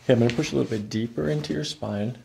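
An American man in his thirties working as a chiropractor, calmly explaining a hands-on exam and asking short check-in questions. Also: medium pitch, rapid-fire, gravelly, slight background noise, low-quality recording. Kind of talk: hands-on clinical exam narration, ASMR. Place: small carpeted clinic office, indoors.

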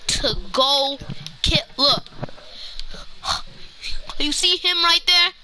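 A player acting out an improvised video game roleplay, speaking haltingly and repeating words. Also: sits high, pushed and bright. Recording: noisy home game recording with clicks.

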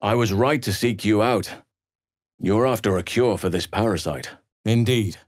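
An actor playing a calm, wise elven druid man in a video game, speaking in a measured, formal, earnest way. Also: fairly low pitch, no echo, clean studio sound, close to an everyday voice. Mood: earnest, firm. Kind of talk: video game character voice lines.

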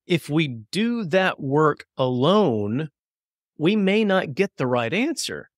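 A man hosts a podcast interview, his voice a touch high, measured and reflective as he responds. The sound is dry and close. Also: very animated, dry recording. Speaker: podcast host. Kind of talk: conversational interview.

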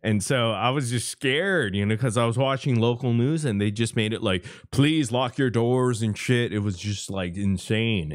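A man chatting casually as an interviewer, in informal, slangy, exclamatory speech full of swearing. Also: on the low side. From Los Angeles, USA. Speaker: interviewer, podcast host. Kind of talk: casual chat. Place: remote online call.

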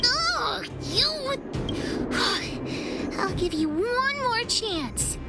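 Very high-pitched bossy little girl. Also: big pitch swings, very slow, exaggerated voice. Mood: stern, pouty. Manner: pouty, demanding, childlike. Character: bossy, feisty little girl playing detective. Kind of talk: video game voice acting. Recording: game music behind.

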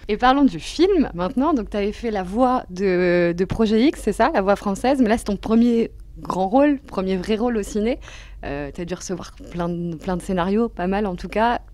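A woman's voice: mid-range voice, moderate pace, darkish tone. A young adult woman, asking questions casually as a radio interviewer. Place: small dim indoor lounge. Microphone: handheld interview microphone.

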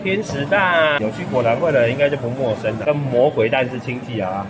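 A man's voice: mid-range voice, brisk. Chatty Taiwanese man touring a buffet. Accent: Taiwanese Mandarin. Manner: chatty, lists dishes with frequent 哈 and 啊 tags. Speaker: food vlogger. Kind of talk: casual restaurant walkthrough. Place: busy hotel buffet restaurant indoors. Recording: noisy restaurant background.

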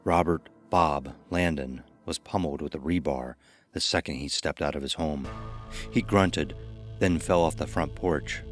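Extremely deep-voiced male horror narrator. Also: a little slow. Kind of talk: scripted dramatic horror narration. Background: music under the voice.

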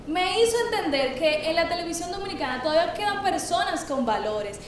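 A woman's voice: very high-pitched, animated, brisk, heavy reverb, recorded from a distance, a little hiss or hum. A young adult woman giving an upbeat introduction of herself on a TV show. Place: indoor restaurant by an aquarium. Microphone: handheld microphone.

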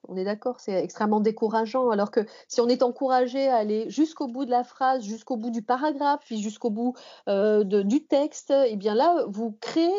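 A woman's voice: a little high, dark tone, husky. A woman explaining as an expert guest in a podcast interview, rephrasing and repeating points for emphasis. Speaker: guest expert, language teacher and researcher. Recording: muffled, band-limited podcast audio.

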